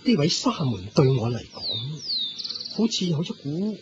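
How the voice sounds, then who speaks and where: Radio drama pilgrim: curious, deferential, Hong Kong Cantonese accent, unhurried, muffled recording over music. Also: male voice, on the high side, wildly swooping pitch. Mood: moved, reverent. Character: reverent, curious male wayfarer, earnest and admiring. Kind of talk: Buddhist radio drama acting.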